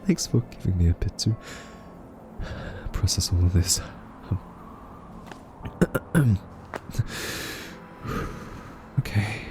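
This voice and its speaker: A man playing an anxious, soft-spoken scientist who reasons haltingly and earnestly with a listener in an audio roleplay. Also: fairly low pitch, expressive, background noise. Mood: careful, pleading.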